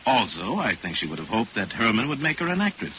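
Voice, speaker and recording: An actor playing a calm, authoritative crime-fighting detective in 1940s radio style, with measured, formal, explanatory delivery. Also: male voice, on the low side. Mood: assured, explaining. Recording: noisy, muffled 1940s radio recording.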